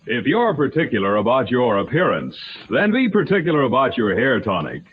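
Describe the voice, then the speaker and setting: A man reads a vintage radio commercial with a very lively melody. His voice is husky, and the recording is slightly muffled and old. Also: mid-range voice, fairly quick. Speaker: commercial announcer. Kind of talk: 1940s radio advertisement.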